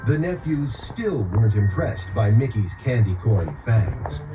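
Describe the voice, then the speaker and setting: A man narrating a scripted children's story in an expressive storytelling manner. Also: on the low side, unhurried, poor audio. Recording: loud music behind narration. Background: music.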